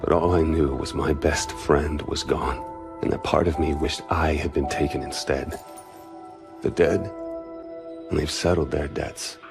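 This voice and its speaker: A somber soldier looking back on loss in a cinematic game narration. His voice is extremely deep and measured, reflective and grave, with music playing behind it. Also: fairly even intonation, vocal fry, exaggerated voice.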